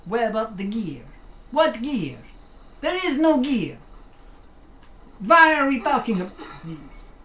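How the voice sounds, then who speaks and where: Playful streamer woman, lively voice, roomy sound. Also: on the high side, takes it slowly, pauses often. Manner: playful, joking, trying out put-on accents; chatty, talks back to the game. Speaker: gaming streamer. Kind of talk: casual live-stream commentary. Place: home room at a desk. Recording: roomy with faint room hum.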